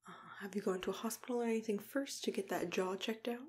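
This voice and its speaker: A young woman speaks softly and slips into whispers, close to the microphone. She plays a caring dentist in an ASMR roleplay, with an unhurried, gentle delivery and a mid-range pitch that reassures the listener. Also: fairly even intonation, slight background noise. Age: young adult.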